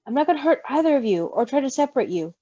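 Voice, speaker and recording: A woman voicing a gentle, wary, protective male creature in a storybook reading, with a reassuring, dry manner. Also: high-pitched, sing-song, very fast, dry recording, highs cut off. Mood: coaxing, calm.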